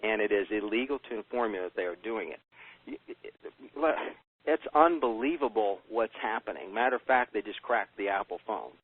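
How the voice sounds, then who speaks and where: List: man, American, husky, rhetorical, persuasive conference-call presenter, tinny phone line. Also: on the low side, expressive, normal speed, no echo. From United States. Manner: emphatic, rhetorical questions. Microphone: telephone.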